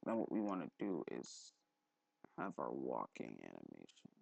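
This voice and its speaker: Young male tutorial host, creaky fry, lively intonation, rambling and off-the-cuff delivery. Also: rumbling low, normal speed, no room sound. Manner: rambling, thinking aloud, frequent throat clearing. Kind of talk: casual screen-recorded software tutorial. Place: indoors at a computer, voice-over for screen recording.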